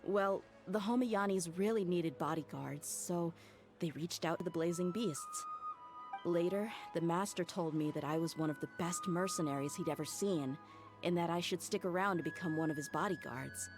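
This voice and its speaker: A woman's voice: fairly low pitch, normal speed, music in the background, close to an everyday voice. A woman voicing a blunt, loyal female mercenary bodyguard in a game, frank and casual in her speech. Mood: earnest. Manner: frank and casual, with heavy sighs.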